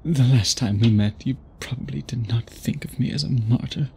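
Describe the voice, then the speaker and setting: A man playing an obsessed, tormented vampire suitor in an intimate ASMR roleplay, speaking formally and haltingly in a breathy, husky voice. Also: medium pitch, darkish tone, a little hiss or hum, natural voice. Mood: remorseful, worried. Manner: formal, theatrical, halting speech with dramatic pauses.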